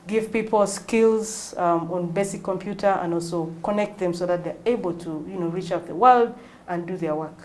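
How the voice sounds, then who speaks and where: A woman in her early forties with a Ugandan accent, answering interview questions in a measured, explanatory way. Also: fairly low pitch, a little mellow. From northwestern Uganda. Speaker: interview guest, non-profit executive director.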